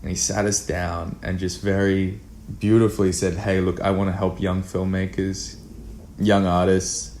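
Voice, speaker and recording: A man with a very low voice talks casually as an interview guest. He is earnest and emphatic, circling back to repeat key phrases. There is some noise and room ambience behind him. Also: flat delivery, a little slow, a little mellow. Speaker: podcast guest, filmmaker.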